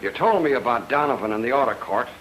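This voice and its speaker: An actor playing a dry, curt, hard-boiled police chief in 1940s radio noir style. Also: male voice, medium pitch, expressive, fast talker, a bit bright, slight background noise, close to an everyday voice, rough recording. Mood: dry, skeptical. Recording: old radio drama, music behind.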